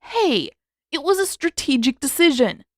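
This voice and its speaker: Woman playing a brash, excitable teenage boy. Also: high-pitched, unhurried, dry recording, clean studio sound, voice pushed up. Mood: defensive. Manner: loud, protesting, excitable. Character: brash, defensive teenage boy, dramatic joker.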